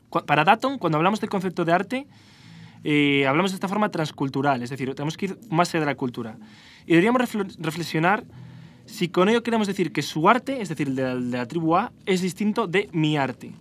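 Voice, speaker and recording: A man with a Spanish accent hosting a podcast debate on art, explaining ideas at length and often correcting himself. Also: fairly high pitch. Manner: explanatory, self-correcting, animated. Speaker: podcast host. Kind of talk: philosophical debate.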